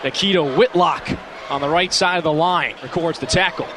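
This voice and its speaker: A man's voice: on the high side, normal speed. A young American man calling live football play-by-play for radio in vivid, clipped running phrases. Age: young adult. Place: stadium broadcast position, indoor dome. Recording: noisy live stadium broadcast. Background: loud stadium noise.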